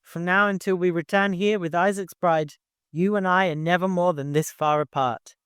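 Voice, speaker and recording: A man's voice: high voice, steady tone, moderate pace, a bit bright, clear, resonant tone, dry recording, studio quality, pitched above the performer's own voice. A man voicing a nervous but determined chamberlain in an audiobook, polite yet blunt. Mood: brisk, blunt.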